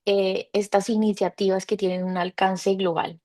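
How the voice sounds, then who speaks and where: A woman's voice: mid-range voice, little pitch movement, normal speed, warm tone. A woman hosting a podcast interview, asking her questions earnestly, with small stumbles and fillers. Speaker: podcast host.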